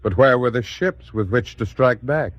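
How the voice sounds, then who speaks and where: A man's voice: medium pitch, lively intonation, normal speed, dry recording, highs cut off. A man delivering solemn, rhetorical narration in the dramatic style of an old-time radio documentary drama.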